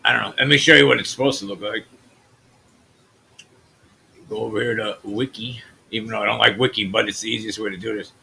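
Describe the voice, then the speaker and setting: Gravelly, bright-edged man rambling over his screen. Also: fairly even intonation, a little fast, pauses often, slight background noise, low-quality recording. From US Midwest. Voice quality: naturally deep voice. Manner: loose and wandering, trailing off into mutters. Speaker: channel host. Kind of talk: rambling screen-share commentary.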